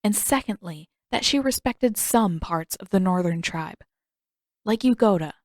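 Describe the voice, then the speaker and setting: A woman's voice: mid-range voice, lively intonation, unhurried, no room sound, clean studio sound. A woman reading a fiction story aloud as a scripted audiobook narration.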